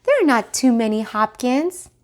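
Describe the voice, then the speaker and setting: A woman voicing a brisk, encouraging mother rabbit from a storybook, giving her brood clear instructions. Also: a little high, very animated, pitched above the performer's own voice. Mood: satisfied.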